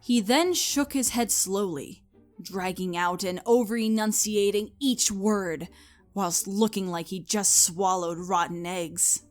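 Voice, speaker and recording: A woman serves as narrator of a scripted comic story. She reads expressively at a middling pitch, with a musical bed behind her voice. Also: slow, faint music behind.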